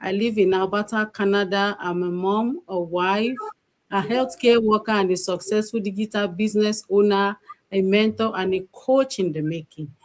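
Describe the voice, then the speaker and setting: A woman's voice: mid-range voice, moderate pace, a little mellow. A woman from Nigeria, hosting a live stream and giving an earnest motivational talk with frequent hesitant fillers. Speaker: co-host and business mentor.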